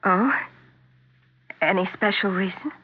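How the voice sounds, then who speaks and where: Lady acting as a worried wife in an old radio play, breathy and faltering, thin phone-like sound. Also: medium pitch, unhurried, lightly acted. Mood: uneasy, placating. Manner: hesitant, faltering. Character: anxious, placating wife, growing frightened of her husband. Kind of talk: 1970s radio drama acting. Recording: muffled, narrow-band 1970s radio recording.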